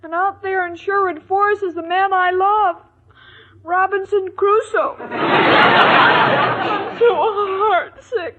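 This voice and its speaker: Lovesick naive young woman, slow delivery, earnest, girlish, 1940s radio comedy, muffled old recording. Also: over a noisy crowd, heavy background noise. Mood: miserable, pining. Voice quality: high girlish voice. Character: dim, sweet, lovesick young woman engaged to marry. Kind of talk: 1940s radio sitcom acting.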